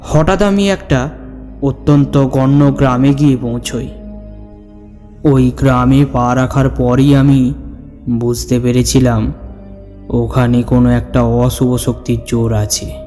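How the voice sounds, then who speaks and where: Slow-paced male storyteller in long reverb. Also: medium pitch, fairly even intonation, dark tone. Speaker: storyteller narrating a ghost story. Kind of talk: dramatic scripted horror story narration. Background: background music.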